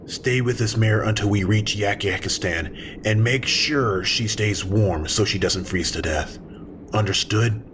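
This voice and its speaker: A man playing a gruff, guilt-ridden pony mercenary soldier with a blunt, formal manner in an audio drama. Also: on the low side, a little slow, noisy background, lightly acted. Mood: commanding. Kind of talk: fan audio drama acting.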